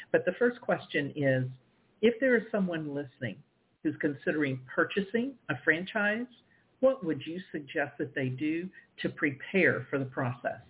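A woman hosting an interview, asking questions and giving short encouraging replies. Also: low-pitched. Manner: encouraging, quick affirmations. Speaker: podcast host. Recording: tinny phone line.

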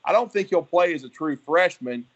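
A man's voice: on the high side, animated, a little fast, slightly rough, lo-fi sound. A man hosting a sports show, discussing college football in a conversational, opinionated and detailed way. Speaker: sports podcast host.

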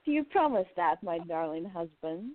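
A woman's voice: lowish voice, sing-song, normal speed, no room sound. A woman playing a spirited, independent young journalist in an amateur radio play, speaking earnestly and with feeling. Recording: tinny phone-like sound.

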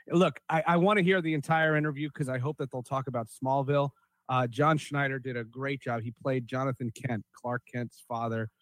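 A man's voice: mid-range voice, dry recording. A man co-hosting a casual panel podcast, opinionated and emphatic, often repeating himself for stress. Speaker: podcast co-host.

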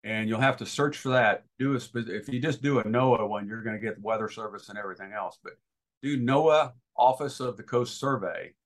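A man's voice: lowish voice, a little fast. Man explaining things in a hesitant way. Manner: hesitant, practical, step-by-step. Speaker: guest expert, boating safety educator. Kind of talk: informal explanatory interview answers.

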